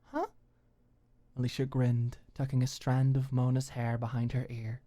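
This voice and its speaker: A woman's voice: rumbling low, flat delivery, normal speed, dark tone. A woman reading scripted story narration in an ASMR audiobook style.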